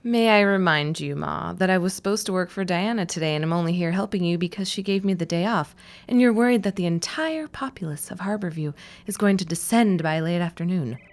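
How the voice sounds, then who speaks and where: Wry, lower-voiced grown daughter. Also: female voice, expressive, brisk. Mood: wry, exasperated. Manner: dry, sarcastic. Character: wry, sarcastic grown daughter stuck in family store. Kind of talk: period audio drama acting.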